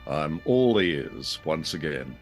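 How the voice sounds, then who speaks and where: A man's voice: very deep voice, very animated, takes it slowly, soft background music. A man co-hosting a show with dry, wry scripted banter. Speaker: podcast co-host.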